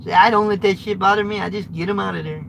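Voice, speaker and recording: An American man with a high-pitched voice, a trucker vlogger chatting live with viewers from his truck cab in a blunt, rambling way. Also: fairly even intonation, fast, creaky, noisy background. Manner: rambling, blunt, restarts often. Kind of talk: live-streamed chat with viewers.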